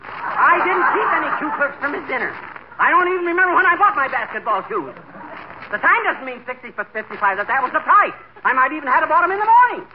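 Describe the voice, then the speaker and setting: A blustery, folksy wisecracker of a husband, pitched high and swinging widely as he talks. It is old radio comedy on a noisy 1940s recording. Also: big pitch swings, a little fast, lo-fi sound. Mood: flustered, exasperated. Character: blustering, bumbling, wisecracking husband, easily flustered.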